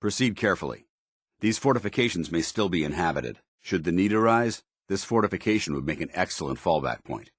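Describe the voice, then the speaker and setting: Video game soldier: stern, disciplined, formal, low-pitched and husky, with varied intonation. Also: male voice, animated, fairly quick, no room sound, clean studio sound. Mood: alert. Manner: formal, military, clipped. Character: stern, disciplined soldier in power armor, middle-aged man. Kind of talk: scripted video game dialogue.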